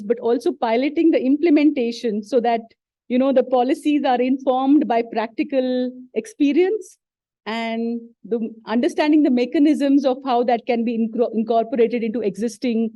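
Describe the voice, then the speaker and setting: A woman's voice: fairly high pitch, warm tone, dry recording, highs cut off. Polite woman moderating a conference panel. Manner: summarizing, polite, measured. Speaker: session moderator. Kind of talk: moderating a discussion. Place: conference hall.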